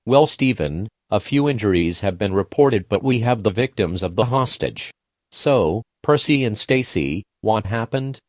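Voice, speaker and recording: A man's voice: on the low side, lively intonation, measured pace, darkish tone, no room sound, clean studio sound. A man playing a television field reporter in a scripted live news report.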